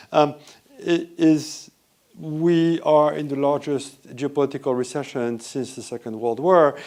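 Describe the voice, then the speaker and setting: A man with a French accent giving a lively, conversational conference talk and often asking the audience questions. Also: mid-range voice. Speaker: conference speaker. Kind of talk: live conference presentation. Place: hotel event room.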